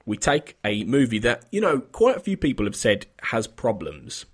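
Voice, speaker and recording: A man with a sniffly, pre-cold voice hosts a film podcast. He rambles in a chatty way, often correcting himself mid-sentence, in an ordinary middle-range pitch. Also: big pitch swings, normal speed, slightly rough. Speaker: podcast host. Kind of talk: casual podcast chat.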